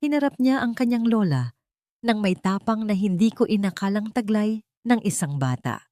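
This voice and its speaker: A woman's voice: lively intonation, moderate pace, darkish tone, dry recording, clean studio sound. A woman with a Filipino accent narrating a dramatic audio story in the first person, lifting her voice for the characters' lines. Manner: rises in pitch and volume for quoted dialogue.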